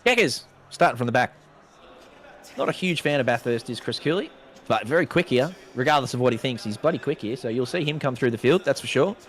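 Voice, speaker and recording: A man's voice: mid-range voice, animated, brisk, a bit bright. A man giving casual, slangy live race commentary, his voice a little off from being sick. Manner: casual, chatty, uses slang. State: slightly sick voice. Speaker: sim racing commentator.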